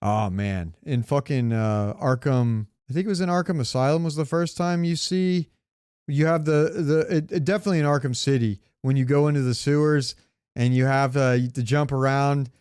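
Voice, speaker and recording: A man's voice: medium pitch, normal speed, darkish tone, smooth, dry recording, clean studio sound. A man co-hosting a podcast in casual, enthusiastic chat, with slangy, sweary talk. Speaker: podcast co-host. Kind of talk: casual chat about comics, movies and games.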